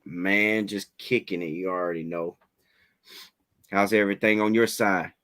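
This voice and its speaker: A man hosting a casual livestream interview, talking in relaxed slang, a little raspy from a head cold. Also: low voice, measured pace. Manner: laid-back slangy hype, fillers like "you know what I'm saying".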